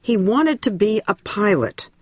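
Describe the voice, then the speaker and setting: A woman correspondent narrating a television news feature in a measured, scripted way. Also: medium pitch, animated, a little mellow, breathy edge. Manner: measured, deliberate.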